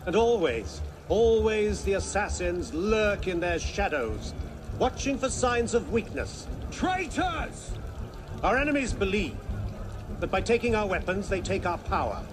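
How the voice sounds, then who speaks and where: A man's voice: high voice, sing-song, a little slow, background noise. An actor playing a zealous, embittered leader in a video game, declaiming solemn, fervent speeches to his followers. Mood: outraged. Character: zealous grand orator, Templar leader, embittered and commanding. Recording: loud music behind. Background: music.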